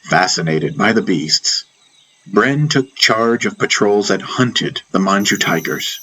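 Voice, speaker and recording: A man's voice: fairly low pitch, expressive, slow pace, bright, forceful tone, a little hiss or hum, poor audio. A man reading a scripted first-person story as an audiobook narrator, with music behind him. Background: background music.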